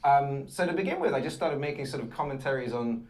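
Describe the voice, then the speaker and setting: A man's voice: mid-range voice, expressive, fast talker, poor audio. A man in his thirties with an English accent, fluently answering interview questions as a guest. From England. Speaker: guest, content creator.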